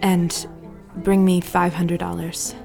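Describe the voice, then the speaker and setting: A woman's voice: on the low side, flat delivery, takes it slowly, vocal fry, darkish tone, soft background music. A woman playing a fearful, drunken, unhappy wife who pleads in an audio drama. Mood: pleading, secretive.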